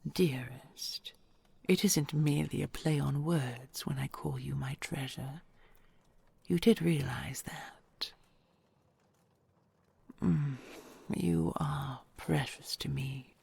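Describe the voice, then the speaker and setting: A woman playing an ancient dragon queen, a tender and possessive lover, in an intimate romantic audio roleplay, whispery and hushed with formal archaic phrasing. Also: sits low, no room sound, full character voice. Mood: tender, devoted. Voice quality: breathy, whispery.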